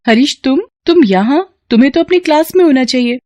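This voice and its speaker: Woman playing a brisk, scolding lady professor. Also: animated, warm tone. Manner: raised pitch, brisk scolding teacher tone. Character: young woman economics professor, firm but kind.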